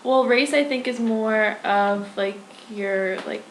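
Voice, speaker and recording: A woman's voice: a little high, slow pace, a little hiss or hum. A young woman answering interview questions in a casual, hesitant way, full of "like" fillers. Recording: echoey room.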